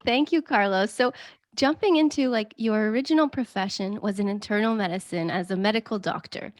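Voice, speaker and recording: A woman's voice: mid-range voice, brisk. A woman who hosts an interview podcast, asking her guest friendly, polite questions. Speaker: podcast host. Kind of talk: scripted intro then interview questions.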